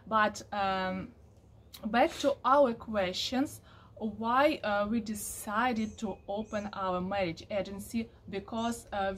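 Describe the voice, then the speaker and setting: A woman in her thirties from Ukraine, speaking halting with many pauses and fillers while introducing herself on a porch. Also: medium pitch. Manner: halting non-native English with many fillers. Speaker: co-owner of a marriage agency. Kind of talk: casual video introduction. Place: covered porch outdoors.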